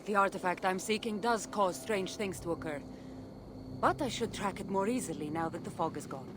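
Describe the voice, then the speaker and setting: An actress playing a guarded, mysterious wandering woman in a video game, speaking in measured, terse, secretive lines. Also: medium pitch, background noise, lightly acted. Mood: guarded, serious. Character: guarded mysterious female wanderer seeking a powerful artifact. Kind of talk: dramatic video game dialogue.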